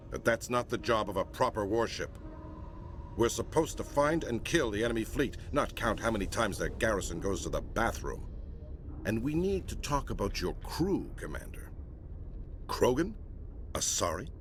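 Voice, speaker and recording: An actor playing a gruff, disapproving middle-aged admiral in a video game, blunt and stern in the way he talks. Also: lowish voice, a bit bright, slight background noise. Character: gruff, disapproving senior space navy admiral inspecting a warship.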